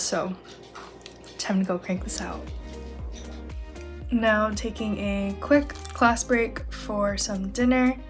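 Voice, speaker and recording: A young woman narrating her day in an upbeat, chatty vlog, talking straight to her viewers. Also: medium pitch. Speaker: vlogger. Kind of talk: casual day-in-the-life narration.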